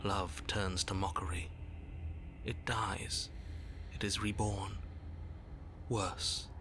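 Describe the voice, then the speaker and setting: A man playing a grave, cruel narrator in a horror game, speaking slowly and deliberately in an ominous manner. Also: low-pitched, level tone, noisy background. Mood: cold, grim. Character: grave, cruel male narrator pronouncing bleak doom.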